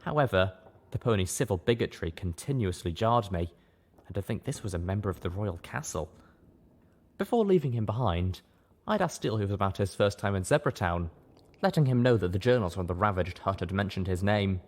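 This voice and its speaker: A man narrating a fan-written novel aloud as an audiobook, in a steady, earnest reading. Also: on the low side, a little fast. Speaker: audiobook reader.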